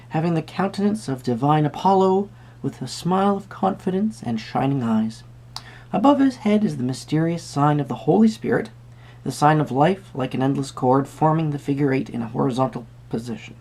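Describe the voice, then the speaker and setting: Man playing a husky, creaky, courtly tarot reader. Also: fairly high pitch, dark tone, exaggerated voice. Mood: reading aloud. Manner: theatrical, polite, rambling, murmuring asides. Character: eccentric, courtly, musing male tarot card reader. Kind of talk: soft-spoken tarot reading roleplay to the viewer.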